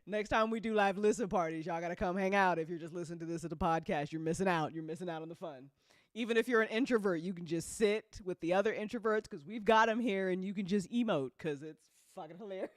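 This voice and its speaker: A woman's voice: lowish voice, quick pace, no echo. Playful woman livestreaming, dry close sound. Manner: informal, sweary, playful teasing. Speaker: host of an audio drama network's livestream. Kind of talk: casual livestream chat, responding to viewers.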